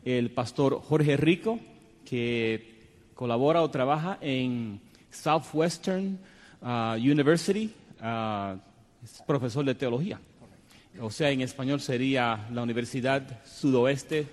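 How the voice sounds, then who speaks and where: A man's voice: mid-range voice, slow, darkish tone. A man hosting a religious TV panel discussion, courteous and hesitant, with many fillers. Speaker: program host and panel moderator. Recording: long hall reverb.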